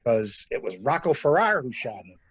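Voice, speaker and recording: A man acting a sharp, sardonic stranded traveler who probes insistently, in a dramatic mystery reading. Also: mid-range voice, sing-song, moderate pace, husky, full character voice. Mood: angry accusation. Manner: probing, insistent, theatrical. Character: stranded male traveler turned sharp, sardonic amateur interrogator.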